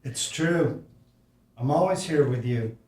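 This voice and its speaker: An actor reading the part of a gentle, loving father at a screenplay table read. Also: medium pitch, slow pace, recorded from a distance, close to an everyday voice. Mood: earnest. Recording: echoey room, away from the mic.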